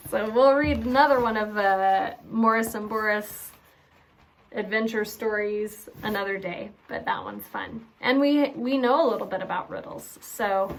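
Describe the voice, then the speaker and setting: A woman's voice: mid-range voice, slow, vocal fry, pauses often, a little hiss or hum, poor audio. A woman preschool teacher reading a storybook aloud to young children and chatting to them about it.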